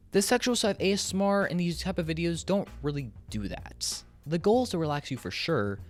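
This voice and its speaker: Young man, video essay narration, lively pitch, frank and emphatic, conversational, music underneath. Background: background music.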